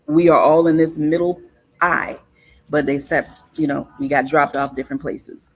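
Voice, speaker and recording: A woman's voice: sits low, fairly even intonation, moderate pace. A woman from South Carolina, a podcast guest telling stories in casual conversation, thoughtful and often stopping to restart with ums. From Hilton Head, South Carolina.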